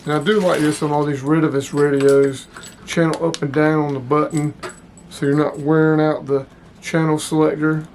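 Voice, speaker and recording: A man's voice: fairly high pitch, level tone, normal speed, background noise. A man giving a casual, plain-spoken product review as the host, talking informally to his viewers. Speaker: channel host reviewing radio gear.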